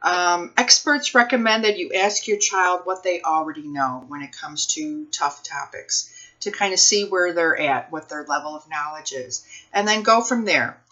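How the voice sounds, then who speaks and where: Bright-voiced woman hosting children's storytime. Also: on the low side, lo-fi sound. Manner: expressive, drawn-out reading for young children. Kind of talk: picture book read-aloud and talk to families.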